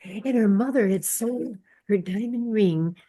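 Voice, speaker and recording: A woman's voice: mid-range voice, measured pace, mellow, dry recording. An older woman talking tentatively and conversationally in a casual book discussion. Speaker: book club member.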